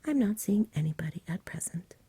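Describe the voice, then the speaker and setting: A woman's voice: mid-range voice, lively intonation, a little fast, mellow, husky, dry recording, pitched above the performer's own voice. A woman voicing a lazy, fussy, polite dragon in a whispered ASMR storybook reading. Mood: plaintive, fussy. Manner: languid, polite, mildly plaintive. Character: lazy, genteel, fussy dragon who refuses to fight.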